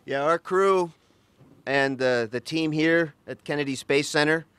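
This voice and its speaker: Smooth-voiced man making a crew statement. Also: a little high, measured pace, fairly bright tone, no echo. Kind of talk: formal press statement.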